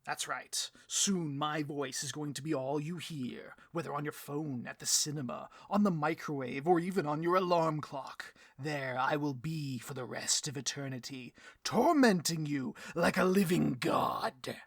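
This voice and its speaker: Supervillain boss for comedy audio roleplay, male actor: hammy, petty, forceful and husky, very dry close recording. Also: fairly high pitch, measured pace, hardly any pauses, dry recording, heavily acted. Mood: grandiose. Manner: pompous, melodramatic, given to rants.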